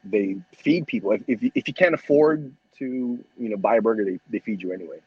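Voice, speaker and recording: A man's voice: on the low side, brisk, husky, no echo, lo-fi sound. A man co-hosting a casual podcast chat who stammers, repeats his words and swears freely. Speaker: podcast co-host.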